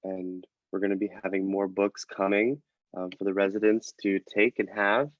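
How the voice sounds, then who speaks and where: A man's voice: low-pitched, little pitch movement, normal speed, no room sound. A man, a program participant, closing with a brief plain announcement over dull, dry call audio.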